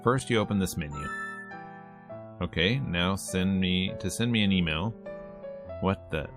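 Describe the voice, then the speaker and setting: A man streaming a visual novel, reading the narration aloud in a conversational, expressive way. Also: deep voice, a little slow, over music. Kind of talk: game let's-play, reading narration aloud.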